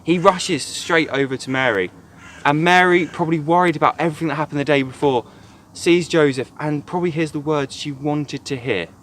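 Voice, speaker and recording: A man's voice: on the high side, a little fast, fairly bright tone, slight background noise. A man, a church youth worker, gives an earnest, reflective devotional talk retelling a Bible story.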